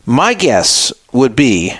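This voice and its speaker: A man's voice: medium pitch, big pitch swings, extremely slow, fairly bright tone, no room sound, clean studio sound. A man hosting a talk radio show, giving opinionated commentary and scoffing sarcastically at a statement he reads aloud.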